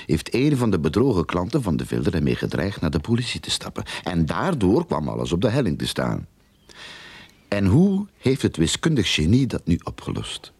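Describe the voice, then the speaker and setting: A cocky Flemish private eye in a radio play. His voice is low and husky, and it swings widely as he probes and explains with sardonic self-assurance. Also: darkish tone, no echo, exaggerated voice. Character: cocky, sardonic male private detective who theorizes boldly. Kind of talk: radio drama acting.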